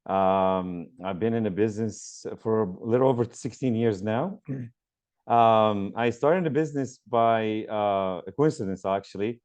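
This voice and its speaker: Earnest man with a smooth low voice. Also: measured pace, a little mellow. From Lebanon. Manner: earnest, many ums and uhs. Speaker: mortgage broker guest. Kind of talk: conversational interview.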